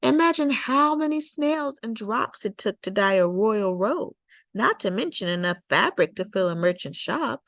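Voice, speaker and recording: A woman narrating a children's nonfiction picture book as a storytime reader, with measured, deliberate phrasing. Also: mid-range voice, animated, a bit bright, no room sound. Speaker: storytime read-aloud host.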